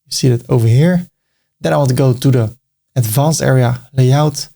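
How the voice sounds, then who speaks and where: A man's voice: mid-range voice, normal speed, gravelly, warm tone. A middle-aged man with a Dutch accent walking through a web design tutorial step by step, casual and now and then joking. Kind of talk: screen-recorded tutorial narration.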